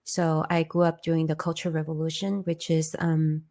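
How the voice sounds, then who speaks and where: A woman's voice: deep voice, level tone, brisk, mellow. A woman from China, speaking English as a second language, an interview guest telling her story in an earnest way. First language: Chinese. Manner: earnest, storytelling, non-native grammar. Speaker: interview guest, former candidate. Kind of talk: conversational interview.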